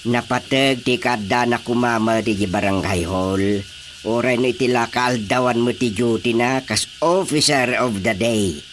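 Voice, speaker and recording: A man with an Ilocano Filipino accent playing a world-weary, principled village chairman in a radio drama comedy, grumbling in a drawn-out way. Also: medium pitch, a bit bright, slight background noise, lightly acted. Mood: firm, reproachful.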